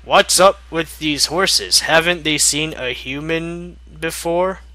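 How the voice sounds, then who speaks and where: A guarded fighting man from a fantasy story, as a male reader plays him. He speaks slowly and deliberately, with a forceful, bright edge. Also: on the high side, no room sound, pitched above the performer's own voice. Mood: baffled, grave. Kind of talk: fan fiction character reading.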